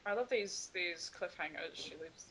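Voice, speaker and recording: A woman's voice: on the low side, moderate pace, fairly bright tone, a little hiss or hum, poor audio. A woman doing a dramatic read-aloud and bantering among friends in a game. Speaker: player in a dramatic reading game.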